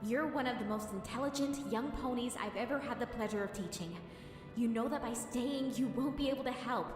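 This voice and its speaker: A woman playing a young pony princess, gentle and earnest, pleading through grief in an audio drama. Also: fairly high pitch, brisk, lightly acted. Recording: echoey hall, distant from mic. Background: prominent music.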